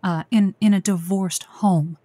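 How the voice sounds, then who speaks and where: Medium pitch, woman as judgmental advice co-host, emphatic, conversational, improvised comedy, very little room sound. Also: steady tone, measured pace, dark tone, no room sound, lightly acted.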